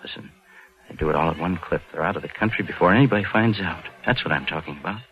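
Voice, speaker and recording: An American actor playing a scheming, slangy bank clerk in a hard-boiled 1940s radio crime drama, both speaking and narrating. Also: male voice, deep voice, fast talker. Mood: urgent persuasion. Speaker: lead actor and first-person narrator. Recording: dull vintage 1940s radio recording with music.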